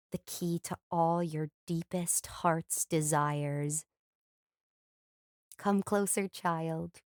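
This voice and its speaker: Gentle, unhurried big sister. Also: female voice, fairly low pitch. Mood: hushed wonder. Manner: gentle, expressive. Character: warm, caring big sister and storyteller. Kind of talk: audio drama acting, storybook telling.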